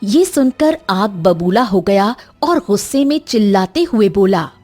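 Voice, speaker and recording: A woman's voice: mid-range voice, lively intonation, unhurried, a little mellow, faint music behind. A woman giving dramatic scripted narration of a cartoon fairy tale. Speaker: story narrator.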